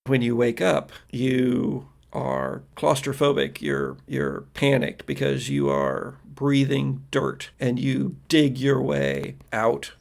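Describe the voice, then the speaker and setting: Gravelly American man narrating a horror game. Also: mid-range voice, little pitch movement, a little mellow. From United States. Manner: dramatic, deliberate storytelling with trailing pauses. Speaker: game master and podcast host. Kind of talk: improvised tabletop horror narration.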